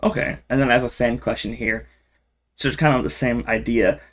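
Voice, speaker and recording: A man's voice: mid-range voice, fairly even intonation, fairly quick, a bit bright. A man hosting an esports interview, asking questions in a casual, upbeat way.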